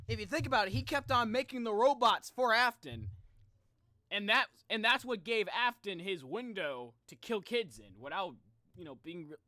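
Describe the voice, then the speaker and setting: A bright-voiced streamer reacting casually to game lore. The delivery is excitable and rambling, swinging widely in pitch, in a close, dry recording. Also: no room sound.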